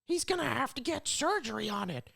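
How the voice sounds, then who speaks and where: A man doing an impression of a middle-aged cartoon mother, earnest and worried, with a raspy, croaky voice. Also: extremely high pitch, very animated, fairly quick, bright, forceful tone, voice pushed up, heavily acted.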